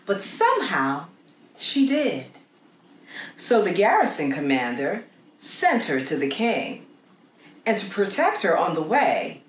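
A middle-aged woman reading a story aloud for children in an expressive, deliberate way with dramatic pauses. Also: fairly low pitch. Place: small indoor room against a plain wall. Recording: roomy echo with faint background noise.

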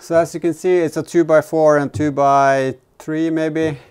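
A man, probably in his thirties, giving a casual hands-on workshop narration in a halting way as he thinks aloud while working. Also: a little high, flat delivery, a little mellow, clean tone. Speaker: host of a DIY vehicle build vlog. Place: indoor garage workshop, in and around an open car.

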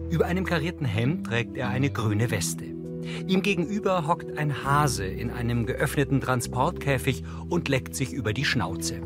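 A man reading scripted scene narration as an audio describer for a television crime show. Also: mid-range voice. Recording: music behind the voice.

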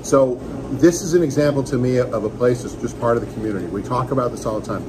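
A man's voice: medium pitch, fast, background noise. Enthusiastic man reviewing fish and chips. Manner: enthusiastic, joking, talks while eating. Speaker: radio host. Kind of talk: casual restaurant review vlog. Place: small restaurant dining room, indoors. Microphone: phone camera on table.

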